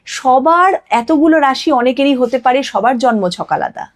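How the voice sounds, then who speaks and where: A woman's voice: high voice, lively intonation, moderate pace. A woman explaining as an astrologer guest on a podcast, teaching with emphatic repetition and mixing in English phrases. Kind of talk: explanatory podcast interview talk.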